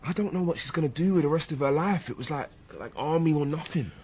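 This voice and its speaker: A man's voice: on the high side, fast, darkish tone, breathy edge. An actor playing a blunt, defensive British police detective, a man who talks colloquially in a naturalistic radio drama. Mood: troubled, uneasy. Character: hot-headed, defensive male police detective, younger colleague.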